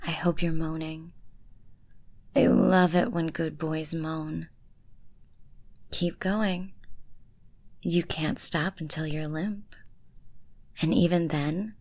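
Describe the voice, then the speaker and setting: A woman with a low voice and a gravelly, fry-tinged edge speaks close to the mic in a soft, commanding murmur that often drops into a whisper. Her delivery is extremely slow and unhurried, and the sound is dry and intimate. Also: no room sound. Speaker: ASMR creator. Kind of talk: erotic ASMR audio.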